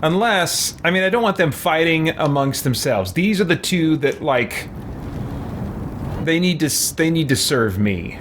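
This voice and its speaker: A man's voice: a little high, animated, unhurried. A man giving casual commentary as he plays a video game. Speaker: game player and host. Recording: clear background noise.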